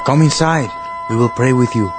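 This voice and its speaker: An actor playing a concerned, devout villager and loyal friend, speaking earnestly in a radio drama. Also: male voice, mid-range voice, normal speed, noisy background, close to an everyday voice. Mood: supportive.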